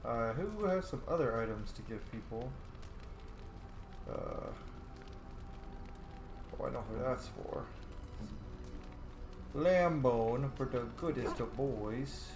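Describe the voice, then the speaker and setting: A man's voice: mid-range voice, expressive, takes it slowly, pauses often, a little mellow, roomy sound, slight background noise. A man hosting a roleplay let's play, chatting casually and playfully voicing his character's replies. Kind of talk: casual gameplay commentary with voiced replies.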